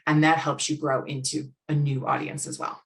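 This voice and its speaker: An outgoing American woman, a guest expert, chatting casually about business and restarting her sentences often. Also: low voice. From United States. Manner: outgoing, speaks in quick bursts with restarts.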